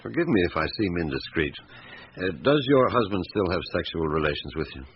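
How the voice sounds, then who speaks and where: Persistent detective, radio drama, calm blunt questioning, low pitch, lively intonation, faint hiss. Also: male voice, a little fast. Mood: blunt, firm. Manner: probing, blunt, businesslike questioning. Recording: dull radio recording with background hiss.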